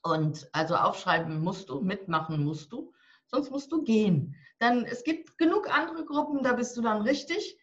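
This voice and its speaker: A woman's voice: on the high side, lively intonation, normal speed, fairly bright tone. A woman of about sixty talking bluntly and with humor in a casual interview, describing how she coaches people. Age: turning 60. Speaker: guest, divorce coach and author. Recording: slightly dull lo-fi audio.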